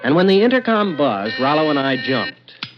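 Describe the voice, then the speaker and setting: Wry private eye, muffled old radio. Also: male voice, a little high, moderate pace. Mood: wry, storytelling. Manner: sardonic, deadpan. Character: wry, broke, wisecracking male private eye narrator. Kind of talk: 1950s radio drama narration and dialogue. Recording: muffled vintage radio recording with music.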